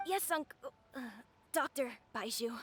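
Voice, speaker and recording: High-voiced earnest little boy. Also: animated, a little slow, fairly bright tone, soft background music. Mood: surprised, shy. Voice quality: youthful, boyish. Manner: earnest, polite. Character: earnest, worried little boy searching for his father. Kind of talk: video game voice acting.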